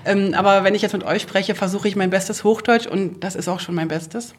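A middle-aged woman from Berlin with a Berlin accent, chatting in a lively, talkative way about her home. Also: mid-range voice, fairly quick. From Berlin, Germany. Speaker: host to guests. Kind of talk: casual chat.

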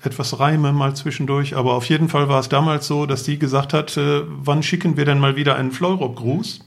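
A man's voice: a little high, fairly even intonation, fairly quick, creaky. A man, telling casual anecdotes as a podcast guest. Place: living room.

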